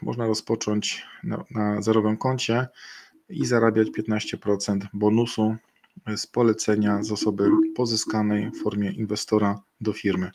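A middle-aged man presenting slides in a webinar over a video call, halting and filling pauses with hesitations. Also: fairly low pitch, darkish tone. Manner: halting, many fillers and restarts. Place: indoor room. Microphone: video call webcam.

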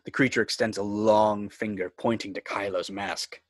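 A man's voice: fairly low pitch, fairly even intonation, moderate pace, dry recording, clean studio sound. A man reading scripted screenplay narration and stage directions for a table read.

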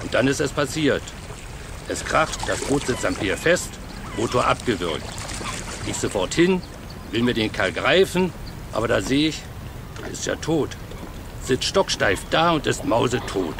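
Gruff harbour boss in a radio drama, blunt clipped talk, water noises behind. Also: male voice, medium pitch, sing-song, measured pace, pauses often. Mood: agitated, blunt. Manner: blunt, colloquial, clipped phrases. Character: gruff, excitable male harbourmaster giving witness account. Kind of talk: radio play acting. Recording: noisy waterside sound effects behind.

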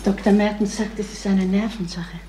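An actress playing an anxious middle-aged wife in a radio crime play. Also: female voice, mid-range voice, fairly even intonation, normal speed, warm tone, slight background noise, natural voice. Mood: anxious, pressing. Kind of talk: German radio crime drama acting.

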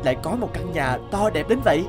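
Casting: male actor for naive young woodcutter, children's cartoon, lightish high voice, very animated, exclamatory, music underneath. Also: fast, close to an everyday voice. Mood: puzzled. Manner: exclamatory, wondering aloud. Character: earnest, easily startled young woodcutter lad. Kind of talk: children's fairy-tale cartoon dubbing.

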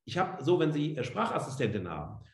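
A middle-aged man lectures like a professor on a video call from a roomy, echoing indoor space. His pitch moves around in a very animated way. He explains things conversationally but with emphasis. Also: fast. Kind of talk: online lecture, freely spoken. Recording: roomy, echoing room sound.

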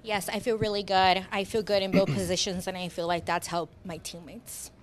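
A woman interpreting a player's answers into English at a press conference, in steady plain summaries. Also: mid-range voice, normal speed.

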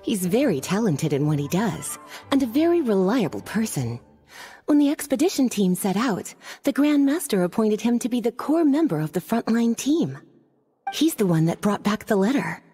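A woman's voice: medium pitch, very animated, moderate pace, dark tone, soft background music, close to an everyday voice. A woman voicing a languid, flirtatious adult witch in a video game, coy and poised. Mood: composed, fond.

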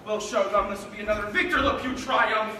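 Pompous stage director guy, high bright voice, echoey stage from far off. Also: fairly even intonation, a little fast, a little hiss or hum. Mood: fussy. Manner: pompous, theatrical, prone to outbursts. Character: pompous unhinged male theatre director.

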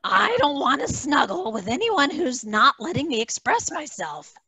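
Long-suffering, principled cartoon wife played by a woman, gravelly yet bright, unhurried. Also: sits high, big pitch swings, dry recording, heavily acted, low-quality recording. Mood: hurt, stubborn. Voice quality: raspy. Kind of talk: amateur cartoon table read. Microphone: headset.

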